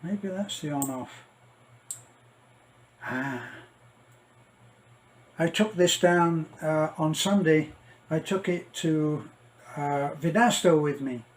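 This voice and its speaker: Middle aged guy doing a rambly unboxing, slow talker, voice a bit on the high side, some noise behind him. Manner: rambling, halting, thinking aloud. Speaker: vlogger. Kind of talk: casual unboxing commentary.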